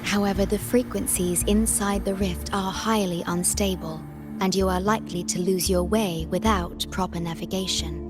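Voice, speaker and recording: A woman playing a serious, careful young woman in a video game, speaking in a measured, formal way. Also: mid-range voice, fairly even intonation, over music, natural voice. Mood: calm, cautious.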